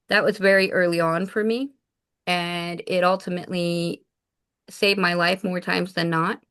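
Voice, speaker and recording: A woman's voice: fairly low pitch, creaky, a bit bright, clear, resonant tone. A woman raised in Japan, a shaman guest in an interview, telling her life story in an earnest, reflective way. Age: adult mother of four. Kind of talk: casual interview talk.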